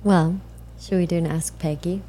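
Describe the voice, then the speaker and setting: A woman's voice: on the low side, fairly even intonation, normal speed, warm tone. A woman co-hosting a podcast, mostly giving short agreeing replies. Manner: brief agreeing backchannel. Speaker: co-host. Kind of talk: casual chat.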